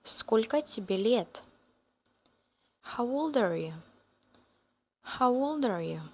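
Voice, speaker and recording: A woman with a Russian accent teaching a beginner language lesson, repeating each phrase slowly for learners to copy. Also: fairly high pitch, expressive, a little mellow, husky.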